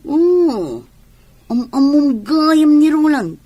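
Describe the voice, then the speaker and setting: A woman's voice: high-pitched, sing-song, drawn-out words, warm tone, no room sound, full character voice. An actress with an Ilocano accent playing an outgoing, inviting village landlady in a radio drama. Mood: nosy, sharp.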